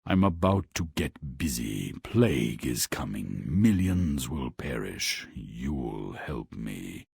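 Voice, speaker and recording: Menacing Death, fairy-tale game, rock-bottom pitch, slow gravelly delivery, commanding. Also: male voice, very animated, darkish tone, no echo, studio quality, full character voice. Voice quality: very deep, gravelly, husky. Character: Death, stern menacing supernatural godfather.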